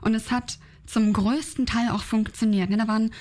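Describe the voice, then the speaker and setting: A woman's voice: fairly high pitch, fairly even intonation, moderate pace, darkish tone. Enthusiastic woman director in interview. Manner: enthusiastic, explanatory. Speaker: audiobook director. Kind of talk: interview chat.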